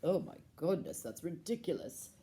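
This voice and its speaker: A woman's voice: sits low, big pitch swings, moderate pace, noisy background, voice pushed down. A woman voicing an earnest, mischievous young prince in a children's puppet show. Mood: busy, mischievous.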